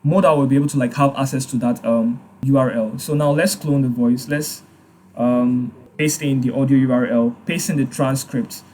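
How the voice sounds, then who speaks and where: A man hosting a screen-recorded software tutorial, talking casually with frequent fillers and repeating his points. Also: medium pitch, fairly even intonation, moderate pace, slight background noise. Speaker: tutorial host.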